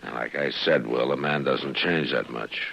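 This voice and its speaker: An American man in a 1950s radio western, playing a calm, steady marshal with an authoritative manner and gravelly voice. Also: a bass voice, moderate pace, full character voice. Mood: quiet authority. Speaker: lead radio actor. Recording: muffled, noisy old radio recording.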